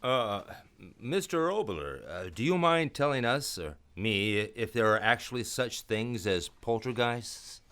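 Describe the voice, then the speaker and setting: A man's voice: medium pitch, sing-song, a little slow, hardly any pauses, fairly bright tone. A man announcing for an old-time radio show in a scripted presentational style.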